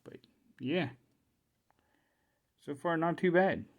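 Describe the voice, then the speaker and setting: A man's voice: a little high, slow pace. Man musing aloud at his workbench. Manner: musing, thinking aloud to himself. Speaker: hobbyist repairer presenting a video. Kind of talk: casual unscripted workbench commentary.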